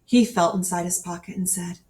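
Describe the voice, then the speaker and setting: A woman with a slightly low voice reads a children's story aloud. Her delivery is scripted storybook narration, expressive and ready to act out the dialogue. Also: brisk. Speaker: storybook reader.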